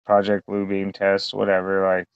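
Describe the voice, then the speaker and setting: A man's voice: deep voice, completely flat delivery, moderate pace, clear, resonant tone, no room sound. A man co-hosting a podcast, rambling through a casual, crude chat full of fillers and swearing. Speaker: podcast co-host. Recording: dull lo-fi sound.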